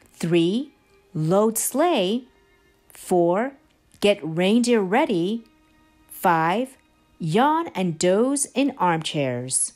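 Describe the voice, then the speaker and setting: A woman reading a children's storybook aloud in an expressive, careful way. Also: mid-range voice. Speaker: children's storybook reader, channel regular. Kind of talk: expressive read-aloud narration for young children.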